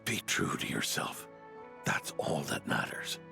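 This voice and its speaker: A gentle old grandfather knight in a dramatic game. His voice is low and gravelly, with slow, earnest phrasing that swings widely in pitch. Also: sing-song, music in the background, exaggerated voice. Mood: weary, gentle. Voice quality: gravelly, breathy when weak. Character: old gruff-kind knight grandfather, weakened and dying. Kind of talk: dramatic video game voice acting.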